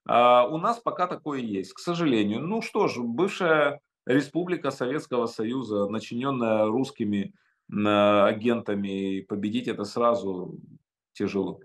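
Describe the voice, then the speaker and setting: A man in his fifties from Ukraine, answering interview questions over a video call from a home study, emphatic and halting with many hesitations. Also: mid-range voice. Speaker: interview guest.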